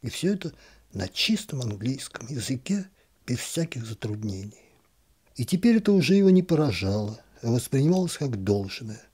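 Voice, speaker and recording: A man narrating a literary short story in a calm, expressive reading style. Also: mid-range voice, moderate pace, husky. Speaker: audiobook narrator.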